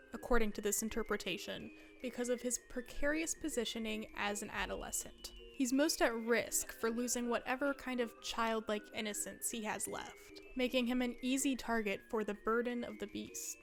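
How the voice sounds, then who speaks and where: Wry young woman, fairly high voice. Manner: conversational, wry asides. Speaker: video essayist. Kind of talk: casual scripted narration.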